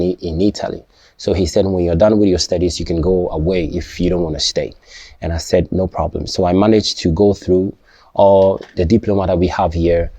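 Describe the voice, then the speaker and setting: A young man, not a native English speaker, talking casually to his viewers, halting and restarting often and checking "you understand?". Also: low-pitched, warm tone, no room sound. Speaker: vlogger. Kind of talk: casual personal talk to camera.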